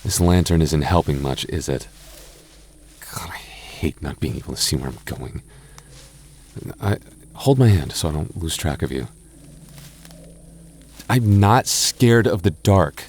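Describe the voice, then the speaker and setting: A young man in a close-mic boyfriend roleplay, talking softly with halting restarts and light jokes. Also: extremely low pitch, animated, slight background noise. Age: young adult. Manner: soft-spoken, halting, jokey and reassuring; slow measured reading of a rhyme.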